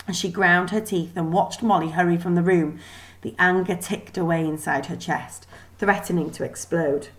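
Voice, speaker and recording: A woman giving an expressive scripted narration of a children's story. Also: on the low side, poor audio. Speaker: storybook reader.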